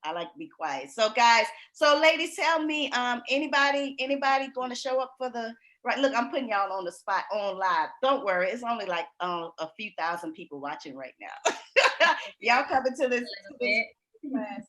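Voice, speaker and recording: Brief: woman host, writing coach; bright, loud, folksy, laughs a lot. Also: fairly high pitch, lively intonation, moderate pace. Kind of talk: lively live-stream talk.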